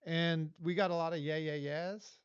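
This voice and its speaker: A man from Los Angeles in his forties, talking as a guest in a casual interview, emphatic and animated. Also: on the high side, normal speed, clear, resonant tone, no room sound. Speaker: guest, vendor advocate. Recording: dull dry podcast audio.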